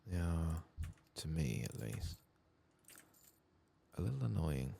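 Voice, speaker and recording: A soft-spoken man murmuring ASMR commentary as he plays a video game. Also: very deep voice, sing-song, slow, creaky, slight background noise. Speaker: ASMR gaming streamer.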